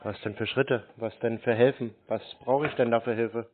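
A man's voice: mid-range voice, steady tone, normal speed, slight background noise. A man talking on a phone call in a dry, stubborn, provocative way, asking pointed questions. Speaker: scambaiter taking scam calls. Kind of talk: unscripted phone conversation. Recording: narrowband, dull phone-call sound.

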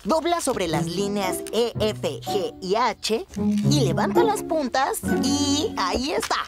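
A woman voicing a mischievous, excitable young boy in a cartoon dub. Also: a little high, slow, over loud music. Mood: eager. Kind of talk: animated children's cartoon dub.

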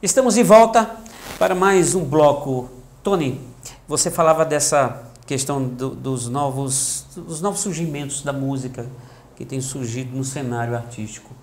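A man's voice: medium pitch, moderate pace. A man, hosting a casual interview show on a lapel mic. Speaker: talk show host. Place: small indoor room. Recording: echoey small room.

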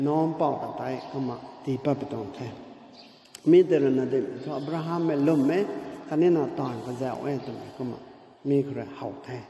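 Older male pastor with a Northeast Indian accent, higher voice, measured sermon delivery, long room reverb. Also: warm tone. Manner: measured preaching mixed with English words. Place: church hall. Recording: echoey hall with long reverb.

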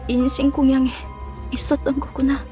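Game role: actress playing a young female survivor weighed down by guilt, high pitch, mellow tone. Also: completely flat delivery, moderate pace, faint music behind, lightly acted. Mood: subdued. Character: guilt-ridden young woman, survivor of a sealed company. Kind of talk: video game voice acting.

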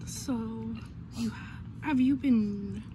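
A woman playing a calm doctor in an amateur skit, questioning a troubled patient in a measured way. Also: on the high side, voice pushed down. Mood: calm, probing. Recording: noisy homemade recording.

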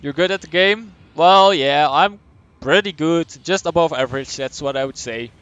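A young man from the Netherlands with a Dutch accent, chatting casually as he streams a game, answering chat and thinking out loud. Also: on the high side, moderate pace, clean tone, dry recording, highs cut off. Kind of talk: live gameplay commentary, reading chat.

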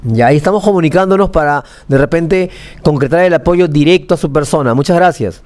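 A man's voice: on the high side, fairly quick, a little mellow. A young man with a Peruvian accent presenting live news and interviewing, emphatic and given to repeating phrases, in a TV studio. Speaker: news anchor. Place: small TV news studio, seated at desk.

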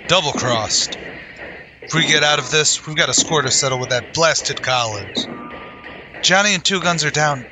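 A man's voice: a little high, moderate pace, noisy background, voice pushed up, natural voice. A man playing a gritty, loyal, wounded Texas Ranger in a radio western. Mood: urgent, alarmed.

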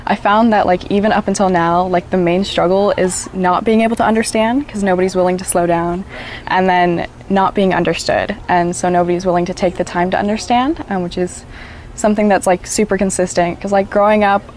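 A woman's voice: mid-range voice, fairly even intonation, a little fast, a little mellow, a little hiss or hum. A young woman whose first language is Japanese, speaking reflectively with plenty of filler words. She is recorded outdoors on a lapel mic with faint ambience in the background. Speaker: interviewee. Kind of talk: documentary interview. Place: outdoors by a wooden wall.

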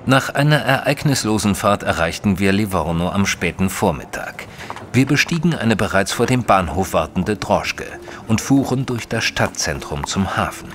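A man playing a reserved Victorian gentleman, a detective's companion, narrating an audio drama in a measured, formal way. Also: lowish voice, animated, a little hiss or hum. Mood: measured, descriptive.

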